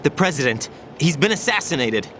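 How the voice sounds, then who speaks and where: A man's voice: a little high, sing-song, a little fast, a bit bright, slight background noise. A man playing an earnest, uneasy young special operative in a dramatic video game, always questioning. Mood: suspicious, uneasy.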